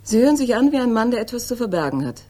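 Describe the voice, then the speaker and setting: An actress playing a bold, persistent young woman in a 1960s German radio crime drama, with a husky voice and a cool, mocking manner. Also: fairly high pitch, sing-song, racing, dark tone, a little hiss or hum. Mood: challenging.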